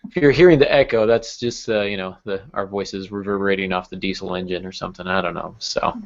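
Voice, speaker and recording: A man's voice: sits low, fairly even intonation, fast talker. A man hosting a casual podcast, joking and rambling a little as he talks. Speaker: podcast host. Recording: dull lo-fi podcast audio.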